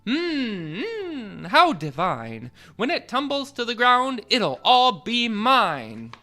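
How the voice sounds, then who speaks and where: A man voicing a greedy, dreamy little female mouse from a storybook, squeaky and savouring. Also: wildly swooping pitch, slow pace, sharp tone, faint music behind, full character voice. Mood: delighted, greedy.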